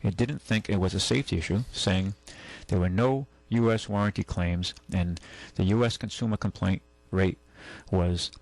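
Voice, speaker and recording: A man hosting a call-in radio show, talking casually and haltingly, rambling as he goes and reading out items. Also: on the low side, dry recording, low-quality recording. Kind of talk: casual talk radio, reading news and chatting. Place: radio studio. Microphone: close studio microphone.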